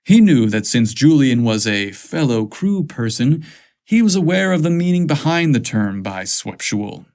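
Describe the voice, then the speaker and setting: A man reads scripted romance fiction as its narrator. His voice sits at a medium pitch. He takes the story slowly, phrase by phrase. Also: big pitch swings, a little mellow.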